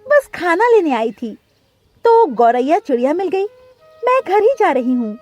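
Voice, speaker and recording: Lady doing a really high dramatic mama bird for a cartoon, doting n plaintive, music under it. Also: big pitch swings, unhurried, a little mellow, heavily acted. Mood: cheerful. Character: emotional mother bird, female, doting, easily distraught. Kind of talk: Hindi cartoon story dialogue.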